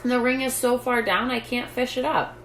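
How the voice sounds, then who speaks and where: Woman acting out an anxious storybook mother, pitched up. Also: fairly even intonation, moderate pace, a bit bright, echoey room, a little hiss or hum, poor audio. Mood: discouraged. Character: anxious, proud mother of a tiny mouse son.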